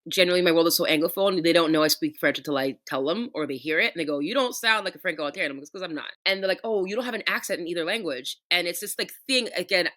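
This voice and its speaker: A woman's voice: sits low, a bit bright. A young woman from Canada co-hosting a casual podcast chat, talking with passion, swearing freely and ranting at length. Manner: impassioned, profane, ranting. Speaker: podcast co-host.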